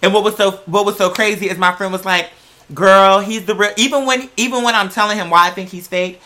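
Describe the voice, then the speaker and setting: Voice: medium pitch, steady tone, quick pace, pushed and bright, slight background noise. A sassy, emphatic online creator giving a casual, opinionated rant to viewers, with lots of slang and free swearing.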